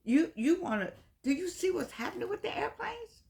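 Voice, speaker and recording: Earnest American woman, halting speech. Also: very high-pitched, very animated, gravelly, a little mellow. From United States. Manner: earnest, exhorting, halting with repeated words. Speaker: vlogger addressing viewers. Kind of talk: unscripted opinion talk to camera.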